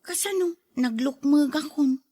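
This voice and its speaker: A woman's voice: high-pitched, unhurried, mellow, dry recording. A woman with an Ilocano Filipino accent playing a pregnant mother and caring aunt in a conversational audio drama. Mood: chatty.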